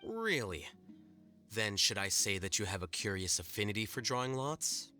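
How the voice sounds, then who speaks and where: A male actor playing a cool, teasing young man in an anime video game, with a deadpan, sardonic delivery. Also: fairly low pitch, moderate pace, faint music behind. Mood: dry, teasing.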